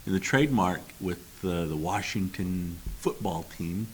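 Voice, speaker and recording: A man's voice: deep voice, a little slow, a little hiss or hum, poor audio. An older man with grandkids co-hosting a talk show, wry and needling in his banter. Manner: wry, needling, self-deprecating. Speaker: co-host.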